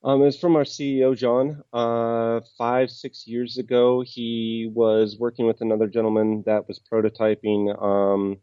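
A man's voice: mid-range voice, level tone, measured pace, few pauses, clear, resonant tone, dry recording, muffled recording. A man from the United States with an American accent, answering interview questions about his game company in a hesitant way, full of ums and restarts.

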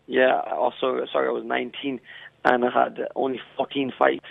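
A man in his twenties, a professional boxer, answering interview questions over the phone in a halting way, often saying "you know" and "I mean.". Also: medium pitch, little pitch movement, gravelly, no room sound. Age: 20s. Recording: tinny dry phone line.

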